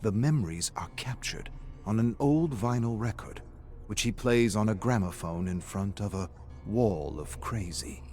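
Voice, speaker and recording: A man reading scripted journal-style lore entries as a measured, serious storyteller. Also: on the low side, animated, a little mellow. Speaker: reader of game lore entries. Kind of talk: scripted narration, journal entries read aloud.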